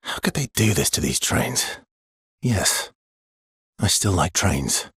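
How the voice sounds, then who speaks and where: Verso, a very husky, weary expeditioner. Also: male voice, deep voice, very animated, slow pace, fairly bright tone, no echo, clean studio sound, heavily acted. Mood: dry, wry. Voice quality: husky, breathy. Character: Verso, weary guarded male expeditioner with quiet regret. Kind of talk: video game voice lines, dramatic acting.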